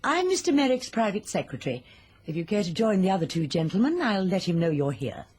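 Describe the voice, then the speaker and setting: Polite, husky private secretary. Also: female voice, medium pitch, big pitch swings, brisk, darkish tone. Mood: courteous. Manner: formal, courteous. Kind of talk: radio drama acting.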